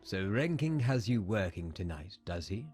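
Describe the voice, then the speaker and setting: Condescending, menacing corrupt politician. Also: male voice, sits low, big pitch swings, a little mellow. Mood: suave. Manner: condescending, deliberate. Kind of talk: audio comic dramatization. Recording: music behind the voice.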